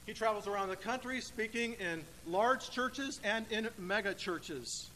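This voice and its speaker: A man delivers a sermon in a bright, forceful voice pitched high for a male speaker. He phrases deliberately and emphatically, leaving dramatic pauses. The church hall adds long reverb, with faint noise underneath. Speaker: preacher. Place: church sanctuary, indoors.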